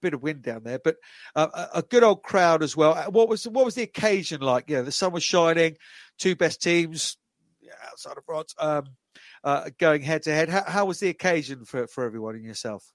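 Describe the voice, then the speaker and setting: A man's voice: on the high side, animated, dry recording. Chatty man with a dry, close sound. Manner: halting, repeats words often. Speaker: podcast host. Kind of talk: casual interview chat.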